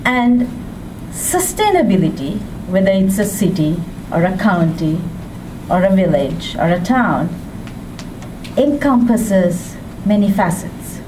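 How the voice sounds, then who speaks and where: A woman from Sri Lanka giving a public speech as a women's rights advocate, with a measured, deliberate delivery and emphatic rises. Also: medium pitch, very animated. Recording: distant mic with background noise.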